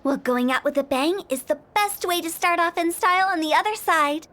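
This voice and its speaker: A woman's voice: very high voice, fairly quick, fairly bright tone. An actress playing a cheeky, playful young female funeral director in a video game, with chirpy, theatrical sales patter. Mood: peppy, persuasive. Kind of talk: video game character acting.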